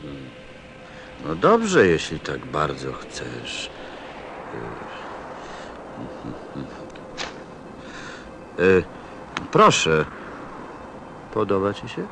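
Radio play: male actor as a patient, puzzled pilot, slow, musing delivery with expressive swings in a bass voice. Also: slight background noise, exaggerated voice. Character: stranded adult pilot, puzzled, patient and obliging.

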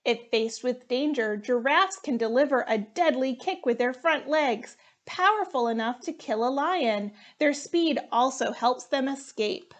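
Slow-reading, higher-voiced woman. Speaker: firefighter reading storybooks aloud. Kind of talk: children's storytime read-aloud.

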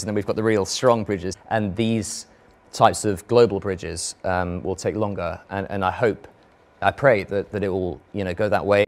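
A young man with a London accent, speaking earnestly as a guest on a studio panel discussion. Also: fairly low pitch. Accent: London English. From London, England. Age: young adult. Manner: earnest, reflective, gestures with hands. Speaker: vlogger and model, panel guest. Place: studio panel stage with audience.

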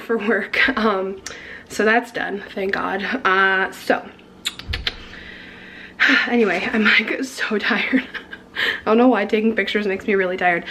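A young woman in her twenties, hoarse and losing her voice, chatting casually to a handheld camera in her kitchen with lots of giggles and fillers. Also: on the high side, fairly bright tone, a little hiss or hum. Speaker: beauty vlogger. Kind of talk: casual vlog chat. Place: home kitchen. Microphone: handheld camera at arm's length.

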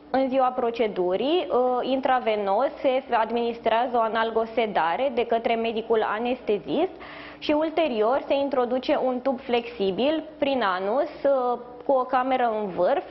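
A woman doctor speaks as an interview guest in a fairly high voice. She explains in a measured, clinical way. The sound is a little dull, with faint noise behind her. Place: indoors in a hospital, live video link.

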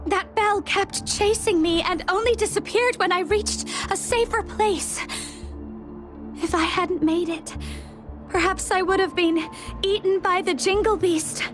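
A woman's voice: very high-pitched, steady tone, measured pace, music in the background. A woman voicing an anxious, earnest young explorer in a video game. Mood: fearful.

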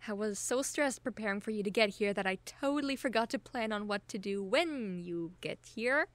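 Chatty, gently teasing young woman, acted. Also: medium pitch, expressive, moderate pace, no room sound, close to an everyday voice. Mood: relaxed, slightly flustered. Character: friendly, caring, teasing young woman hosting her crush. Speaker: voice actor in an audio roleplay. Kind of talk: intimate ASMR-style audio roleplay, casual one-sided chat.

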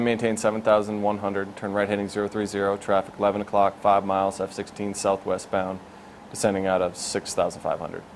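A man in his twenties explaining his work as a military air traffic controller in an informal, matter-of-fact interview. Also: low-pitched. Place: indoors in a glass-walled control tower.

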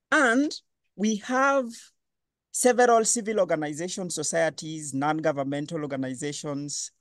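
A man hosting an online panel discussion, lively and questioning. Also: on the high side, no echo, studio quality. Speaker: webinar host.

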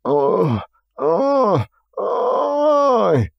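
A man plays a wretched, frightened little peasant in an audiobook drama. He groans and wails in a voice that slides up high and swings about, with a dry recording. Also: huge pitch swings, extremely slow, gravelly, no room sound, dull audio, full character voice. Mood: pained, wailing. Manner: groaning, moaning, wailing. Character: pitiful, frightened, scrawny peasant man caught stealing gold.

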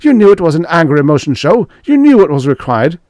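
A man's voice: on the low side, animated, normal speed, no room sound, studio quality, lightly acted. A man voicing an irate older doctor, snapping and accusing in a dramatised story. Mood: furious.

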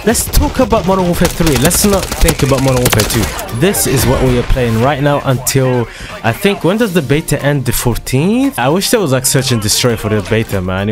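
A man giving excitable, slangy commentary over his own shooter gameplay, his voice a little hoarse from a dry throat. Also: medium pitch. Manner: excitable, slangy trash-talking banter. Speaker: gaming video creator. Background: loud background music and gunfire.

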